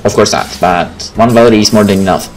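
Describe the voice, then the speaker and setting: A man's voice: on the low side, fast. A man doing a let's play, reading visual novel text aloud and adding casual comments. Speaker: gaming video creator. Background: game music.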